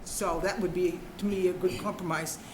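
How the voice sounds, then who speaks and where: An older woman, a resident and former teacher, giving public comment at a town board meeting in a loud, direct, teacherly voice. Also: lowish voice, steady tone, moderate pace, noisy background. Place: town meeting hall at a podium.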